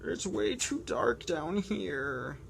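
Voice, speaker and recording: A woman's voice: on the low side, sing-song, slow pace, vocal fry, slight background noise, pitched above the performer's own voice, full character voice. A woman voicing a grumpy, whiny young penguin in a picture book read-aloud. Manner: complaining, whiny.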